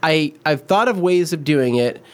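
Man in his thirties, fairly high voice, jokey, exaggerating, studio banter. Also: animated, moderate pace. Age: 30s. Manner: joking, exaggerating. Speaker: co-host of detailing talk show. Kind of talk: casual studio chat.

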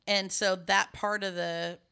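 A woman's voice: mid-range voice, flat delivery, unhurried, gravelly, sharp tone, smooth. A middle-aged woman with a scratchy voice, hosting a casual chat and explaining at length with many restarts and fillers.

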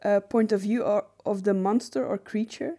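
A woman co-hosting a podcast, discussing film casually and analytically, often pausing mid-phrase to find her words. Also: medium pitch, steady tone, warm tone. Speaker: podcast co-host.

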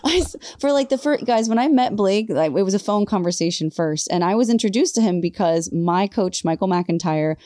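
A woman host and vocal coach chatting casually on a podcast, bubbly and animated, laughing often. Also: mid-range voice, fairly quick, few pauses, dry recording, studio quality. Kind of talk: casual chat.

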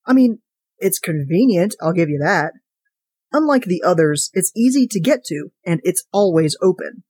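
A wry, put-upon everyday character read out by a podcast host tells a tale in a chatty, sardonic monologue. The voice sits at a middle pitch and is recorded close and dry. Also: lively intonation, moderate pace, darkish tone, husky, no room sound. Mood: wry, grumbling. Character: wry, chatty, put-upon ordinary fridge-portal owner. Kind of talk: first-person short story reading.

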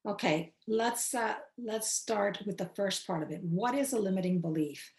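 A woman's voice: mid-range voice, a little mellow. Roomy-sounding woman explaining patiently. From New York City, Queens. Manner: measured, explanatory, slows for key points. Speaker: guest. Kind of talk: reflective interview answers. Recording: roomy echo.

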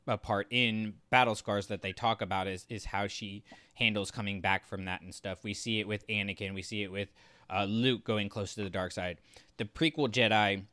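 Male co-host, crisp forward tone, close dry sound, casual analysis, halting and thoughtful. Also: on the low side, sharp tone, dry recording. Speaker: podcast co-host.

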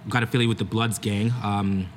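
A young man from Canada speaks as a podcast guest, his voice pitched fairly low. He talks haltingly, stopping and restarting often, over a faint ambient hum. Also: level tone. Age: young adult. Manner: hesitant, with many fillers and restarts. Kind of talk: casual chat.

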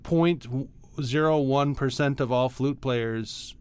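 A man co-hosting a podcast, talking casually about music in a halting, hedging, explanatory way. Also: mid-range voice, darkish tone, clear, resonant tone. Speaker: podcast co-host.

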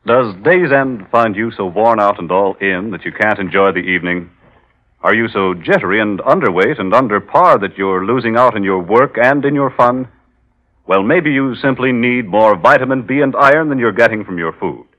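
A man announcing for an old-time radio show in a formal, measured, emphatic style. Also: mid-range voice, pushed and bright. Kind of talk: 1940s radio announcing and sponsor reading. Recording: muffled old radio broadcast. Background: music.

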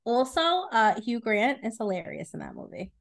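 A woman's voice: fairly high pitch, very animated, normal speed, creaky. A woman co-hosting a podcast, chatting casually and arguing in a dramatic, emphatic, sweary way. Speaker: podcast co-host. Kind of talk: casual chat, friendly argument.

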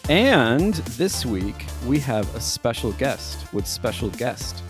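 A man's voice: medium pitch, big pitch swings, takes it slowly, soft background music. A man co-hosting a podcast, asking loose, rambling questions in a casual, conversational way. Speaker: podcast co-host.

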